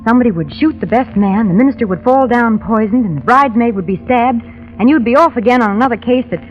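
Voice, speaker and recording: A woman's voice: on the high side, quick pace, faint music behind, lightly acted. An actress playing a poised, witty lady companion to a sleuth, arch and genteel, in 1930s radio drama. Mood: teasing, wry. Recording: muffled, band-limited old radio recording.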